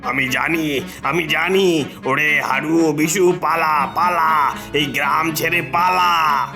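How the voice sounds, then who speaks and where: Man acting a sharp-voiced, panicky village headman. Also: on the high side, fairly even intonation, slow pace, soft background music, full character voice. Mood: panicked. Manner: alarmed, repeats his phrases. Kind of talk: cartoon horror story acting.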